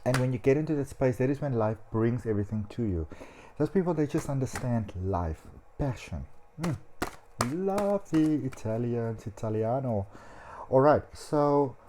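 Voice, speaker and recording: A man talks through a tarot reading in a chatty, animated way, sprinkling in "um" and "you know." His voice is mellow and warm. Faint hiss sits underneath. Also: medium pitch, moderate pace. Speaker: psychic tarot reader.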